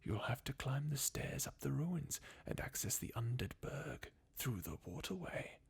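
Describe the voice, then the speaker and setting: Fan actor as crestfallen undead game warrior: slow, swinging intonation, breathy, bleakly mocking. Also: mid-range voice, expressive, full character voice. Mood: weary, sardonic. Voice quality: breathy, husky half-whisper with creak. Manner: sardonic, weary, chuckling bleakly. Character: jaded, mocking undead warrior, sardonic and defeatist. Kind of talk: fan redub of video game dialogue, ASMR-like.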